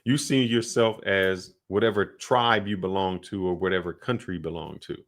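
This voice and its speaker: A man's voice: deep voice, steady tone, normal speed. A man co-hosting a podcast, explaining his views at length in loose, casual speech. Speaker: podcast co-host. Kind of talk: casual chat.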